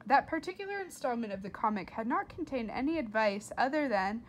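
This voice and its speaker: Higher-voiced woman reading to kids. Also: slight background noise. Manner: expressive, simple explanations to children. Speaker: read-aloud host for children. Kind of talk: storybook narration with explanatory asides.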